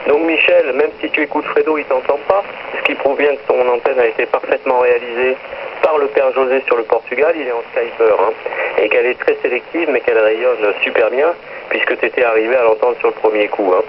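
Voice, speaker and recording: A man's voice: medium pitch, fairly even intonation, a little fast. A middle-aged man chatting in amateur radio jargon over a two-way radio, friendly and talkative with his greetings. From northern Paris area, France. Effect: two-way radio transmission. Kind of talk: casual radio contact chat with operator jargon. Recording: noisy, muffled shortwave radio transmission.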